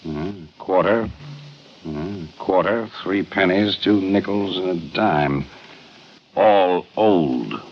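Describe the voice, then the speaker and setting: An actor playing a methodical, probing homicide inspector in a vintage radio crime drama, questioning deliberately with hesitant pauses. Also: male voice, low-pitched. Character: probing, methodical middle-aged male police homicide inspector. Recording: dull, noisy old radio recording.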